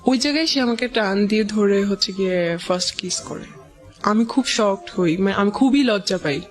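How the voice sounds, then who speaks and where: Bangladeshi woman telling her story haltingly over music. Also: mid-range voice. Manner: halting, quotes past conversations. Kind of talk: personal storytelling in an interview. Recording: music playing behind the voice.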